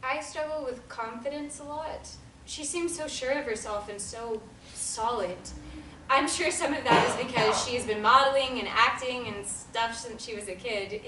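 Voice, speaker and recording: A woman reading the part of an earnest young woman who lacks confidence. She speaks in an earnest, halting way. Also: on the high side, noisy background, lightly acted. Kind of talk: table reading of a stage play. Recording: echoey room from a distant mic.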